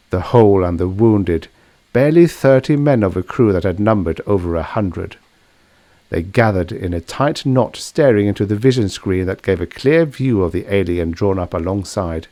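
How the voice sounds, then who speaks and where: Low-voiced man narrating fiction, close and dry. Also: darkish tone, no room sound. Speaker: audiobook narrator. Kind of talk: scripted narration of vintage science fiction story.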